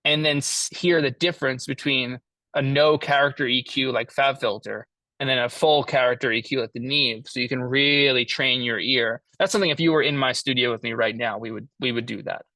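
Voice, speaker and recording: A man giving an informal lesson as an audio engineer, explaining his tools in a halting way as he thinks aloud. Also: mid-range voice, fairly even intonation, bright, forceful tone, dry recording. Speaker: mixing engineer teaching a class.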